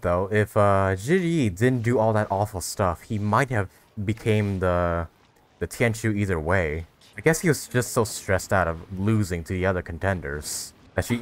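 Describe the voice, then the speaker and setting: Low-voiced man doing gaming commentary. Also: a bit bright. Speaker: gaming YouTuber. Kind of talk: casual let's-play commentary.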